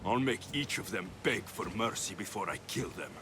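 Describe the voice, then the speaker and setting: An actor playing a fierce, loyal Greek mercenary hero who is grieving and set on vengeance, in a cinematic video game. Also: male voice, fairly low pitch, normal speed, background noise, natural voice. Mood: remorseful, grim.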